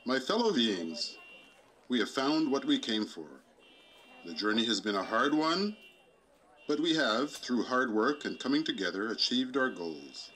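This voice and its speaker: Puppet-show president, played by a man: grandiose orator, forceful, widely swinging pitch, easily flustered. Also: very animated, poor audio. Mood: pompous. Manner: grandiose, halting, theatrical.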